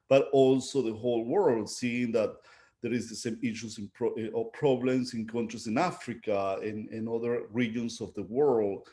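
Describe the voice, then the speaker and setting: Middle-aged male artist, Venezuelan Spanish accent, mid-pitched voice, reflective and halting in unscripted interview talk. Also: warm tone. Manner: halting, searching for words. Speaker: visual artist being interviewed.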